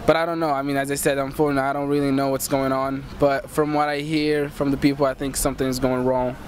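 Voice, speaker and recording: A man's voice: medium pitch, flat delivery, a little fast, slight background noise. A young man from Morocco, a student, giving rambling, hedging street interview answers outdoors.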